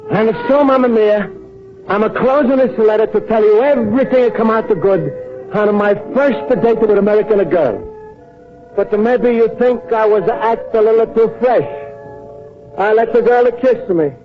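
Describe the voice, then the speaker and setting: An actor playing a naive, bashful Italian immigrant in broken, accented English, in old radio comedy style. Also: male voice, sits high, normal speed, faint music behind, natural voice. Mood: grateful, earnest. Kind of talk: 1940s American radio comedy acting. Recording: muffled vintage radio broadcast.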